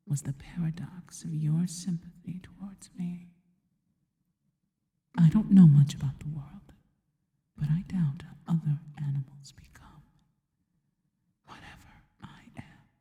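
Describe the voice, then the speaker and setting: A woman performs a worshipful spider monster girl in an intimate ASMR roleplay. The voice is a soft, breathy whisper, low and deeply mellow. Words come slowly and reverently, with adoring, possessive devotion. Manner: slow, deliberate, reverent.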